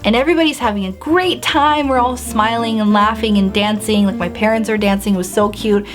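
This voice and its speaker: A young woman vlogger telling a story to the camera in a bubbly, animated way, filler-heavy and acting out her own reactions. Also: a little high, fairly quick, music in the background. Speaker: beauty and lifestyle vlogger. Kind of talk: casual storytime vlog.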